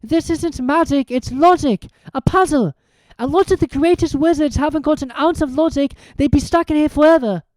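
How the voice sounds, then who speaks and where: A woman voicing an eager, bossy, clever schoolgirl witch in a storybook reading, brisk and excited. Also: high-pitched, no echo, pitched above the performer's own voice, lightly acted, poor audio. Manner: brisk, excited, know-it-all.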